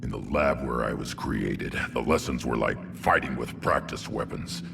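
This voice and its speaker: Growling, rock-bottom deep alien warrior brute. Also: male voice, normal speed, heavily acted. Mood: proud, solemn. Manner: blunt, terse, gruff. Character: young, battle-hungry alien warrior brute, blunt and proud. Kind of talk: video game character acting. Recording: clear background ambience noise.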